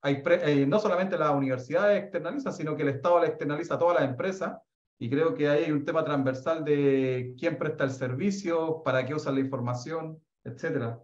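A Chilean-accented man in his thirties talks in a lively, chatty way, stumbling and restarting as his thoughts run ahead. His voice is a bit high. The call audio sounds roomy and slightly muffled. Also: brisk. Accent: Chilean Spanish. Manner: animated, chatty, many restarts and fillers. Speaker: researcher. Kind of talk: casual panel comments on a video call. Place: office with bookshelves.

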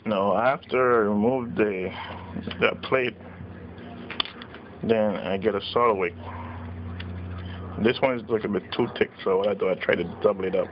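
A man slowly walking through a hands-on circuit board repair at his workbench, explaining each step plainly. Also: on the low side, steady tone. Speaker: radio repair technician. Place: workbench, indoors. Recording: dull lo-fi camera audio with noise.

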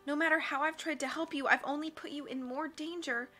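An earnest, well-meaning judge speaks in a high, pleading voice, as a woman puts her on. Her tone is bright and forceful, with faint music behind. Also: expressive, fast. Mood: distraught.

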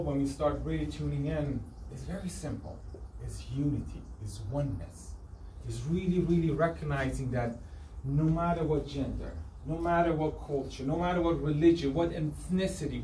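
Earnest halting man speaking from afar. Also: a little high, slight background noise. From Sweden. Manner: halting, earnest, restarts phrases often. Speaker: artist giving a presentation. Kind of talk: live talk to an audience. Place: event space with an audience. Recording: roomy sound from a distance, loud music behind.

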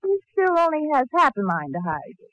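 An actress playing an affectionate but scolding young sweetheart in a 1940s radio comedy. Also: female voice, high-pitched, huge pitch swings, brisk, a bit bright, no room sound, exaggerated voice, rough recording. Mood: coaxing. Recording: old 1940s radio recording.

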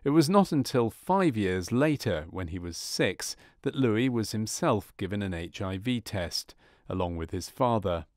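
A man reading scripted narration for a medical training film in a measured, formal manner. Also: on the low side, animated, dry recording, studio quality.

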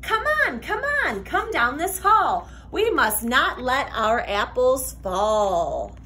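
High, bright, very animated woman reading aloud. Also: slight background noise. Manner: expressive, sing-song, deliberate reading. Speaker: teacher reading aloud to children. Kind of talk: animated children's picture-book read-aloud. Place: classroom, indoors.

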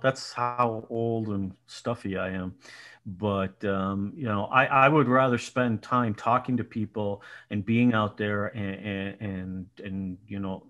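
A man in his sixties from Colorado with a nasal voice, a guest telling self-mocking stories on a video call from his home. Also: fairly low pitch. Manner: self-mocking storyteller, laughs often. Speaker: podcast guest, business owner. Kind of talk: casual video call chat. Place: home room indoors. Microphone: headset, video call.

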